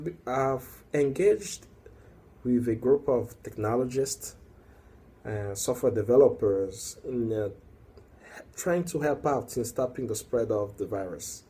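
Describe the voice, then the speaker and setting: A man's voice: lowish voice, mellow, slightly rough. A young man from the Congo speaking English, talking alone to a camera in a hesitant way with many fillers that builds into emphatic warnings. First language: Swahili or Lingala. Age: young adult. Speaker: activist. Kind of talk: solo video monologue. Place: indoors at night, working.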